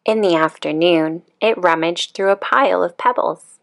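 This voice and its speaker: Calm lady reading a kids bedtime story, lowish creaky voice, takes her time. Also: moderate pace. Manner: calm, expressive. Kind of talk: children's picture book read-aloud.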